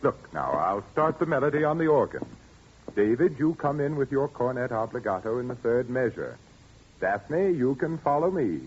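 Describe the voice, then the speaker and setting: A man's voice: medium pitch, sing-song, normal speed, natural voice. A man plays an overwrought widowed father in an old radio drama, his voice swinging widely as he coaxes and then pleads. The sound is dull and lo-fi with a faint hiss behind. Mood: coaxing. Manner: coaxing then anguished, voice climbing. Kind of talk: vintage radio drama acting.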